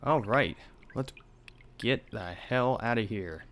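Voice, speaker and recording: A man's voice: medium pitch, slow pace, fairly bright tone, slight background noise. A young man hosting a let's play, reading out a horror game's dialogue with some drama and swearing in asides. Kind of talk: reading game text aloud with commentary.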